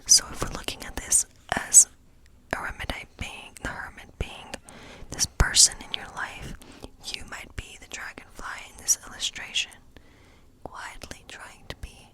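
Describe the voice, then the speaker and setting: A woman playing a friendly, chatty woodland witch in an ASMR role play, speaking in a breathy whisper and rambling gently. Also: measured pace, natural voice, rough recording. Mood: musing, playful. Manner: rambling, gentle, lingering over phrases.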